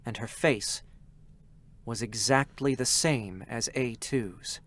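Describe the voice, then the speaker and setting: A man giving slow, solemn, measured scripted narration at a live reading. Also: medium pitch, a bit bright.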